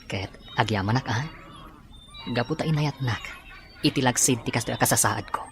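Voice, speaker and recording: Male actor as modest young working man, earnest, grateful, radio-style drama, mid-pitched, faint noise. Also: moderate pace, lightly acted. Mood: tender, grateful. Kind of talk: Ilocano audio drama acting.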